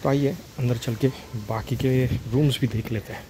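A man's voice: medium pitch, steady tone, normal speed. A man walking through an empty house, casually pointing out each room for a property tour video. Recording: phone video with clear room noise.

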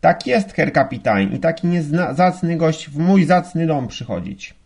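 A man's voice: fairly high pitch, sing-song, normal speed, gravelly, a little mellow, slightly rough, voice pushed up, full character voice. A man voicing a stout, gushing, indignant German madam who chatters in broken grammar with a German accent. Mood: eager.

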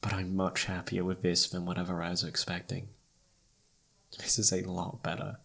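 A man playing an affectionate, sleepy best friend turned lover in an intimate ASMR roleplay, speaking softly and tenderly. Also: low voice, completely flat delivery, moderate pace, creaky, husky, exaggerated voice.

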